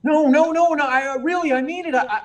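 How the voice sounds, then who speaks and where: A very high male voice from an actor as a meek young reporter in an old radio serial. He is earnest, polite and prone to stammer, heard a little away from the mic. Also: fairly even intonation, quick pace. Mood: urgent, earnest. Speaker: actor in a live radio play. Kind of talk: 1940s radio serial acting. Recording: distant, far from the mic.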